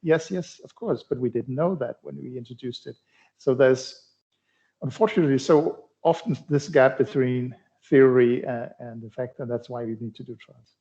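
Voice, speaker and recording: A middle-aged man from Denmark, a cardiology researcher answering interview questions in a halting way, with many fillers and restarts. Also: medium pitch, mellow. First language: Danish. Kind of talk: conversational expert interview. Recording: dull lo-fi sound.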